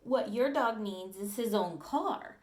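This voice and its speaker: A woman voicing a kindly, handy neighbor man in a read-aloud storybook, calm and matter-of-fact. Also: medium pitch, slow pace.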